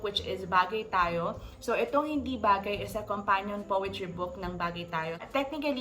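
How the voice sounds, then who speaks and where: A woman's voice: mid-range voice, a bit bright, rough recording. Chatty Filipino woman reviewing books. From Philippines. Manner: chatty, often addresses viewers as guys. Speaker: book vlogger. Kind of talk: casual book review vlog. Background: background music.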